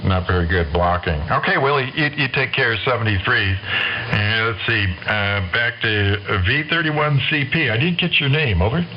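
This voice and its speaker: A man in a relaxed, chatty exchange as an amateur radio operator, heard over a shortwave link. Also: mid-range voice, moderate pace. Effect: shortwave radio transmission. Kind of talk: casual rag-chew radio chat. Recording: muffled, hissy amateur radio signal.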